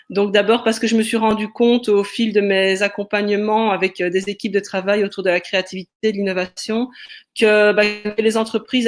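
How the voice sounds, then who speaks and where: A woman from Liège with a Belgian French accent, introducing herself on a webinar in a measured, explanatory way with many hesitations. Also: mid-range voice. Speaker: guest, sociologist and entrepreneur. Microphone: video call.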